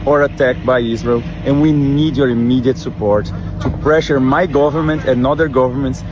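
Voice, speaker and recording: A man's voice: mid-range voice, moderate pace, noisy background. A man from Brazil giving an urgent, earnest recorded video statement as an activist.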